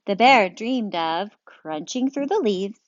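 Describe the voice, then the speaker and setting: A woman's voice: medium pitch, takes it slowly, no room sound. A woman reading a picture book aloud as a teacher, engaging listeners with questions. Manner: lively, engaging, asks questions. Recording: dry, dull lo-fi sound.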